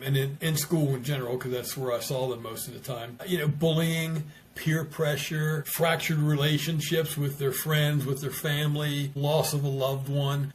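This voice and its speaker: A man's voice: fairly high pitch, a little mellow. An older American man speaking conversationally as an interview guest, an author and retired teacher. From United States.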